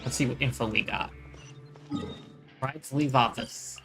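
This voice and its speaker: A man's voice: mid-range voice, measured pace, gravelly. Guy streamer chatting over a game, informal reactions, midrange voice, music in the back. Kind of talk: casual game commentary. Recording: music behind the voice.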